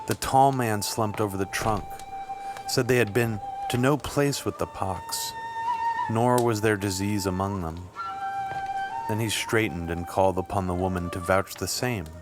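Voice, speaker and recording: A man reading scripted literary fiction as an audiobook narrator, with measured, deliberate phrasing. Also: sits low. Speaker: audiobook reader. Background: music.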